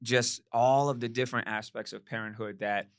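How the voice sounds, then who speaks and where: A man in his forties whose first language is Cantonese talks through his story in a measured, reflective way, often checking in with "right?". His voice sits mid-range and is recorded close and dry, with no room around it. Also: mid-range voice, fairly even intonation, smooth, no echo. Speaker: podcast guest. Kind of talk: casual podcast conversation.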